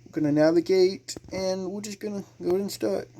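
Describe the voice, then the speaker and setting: Young dude doing casual game commentary, dark soft tone, halting, bit of background noise. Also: male voice, sits high, steady tone. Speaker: gaming channel host.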